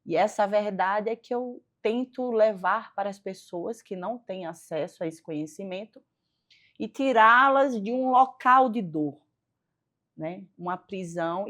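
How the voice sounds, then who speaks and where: A middle-aged woman from Northeast Brazil with a strong Northeastern Brazilian accent, speaking earnestly as a physiotherapist guest in a faith-based interview, often pausing on "né". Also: mid-range voice, a bit bright. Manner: earnest, pauses with frequent "né".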